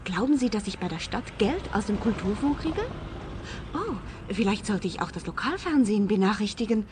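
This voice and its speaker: Animated, officious landlady, played by a woman. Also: mid-range voice, normal speed, noisy background, close to an everyday voice. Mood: greedy curiosity. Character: brisk, money-minded female landlady, easily indignant. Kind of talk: radio crime comedy acting.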